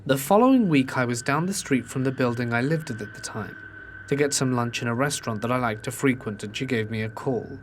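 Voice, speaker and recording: A man's voice: mid-range voice, a little fast, slight background noise. Man narrating ghost stories. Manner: steady storytelling delivery, reading submitted accounts.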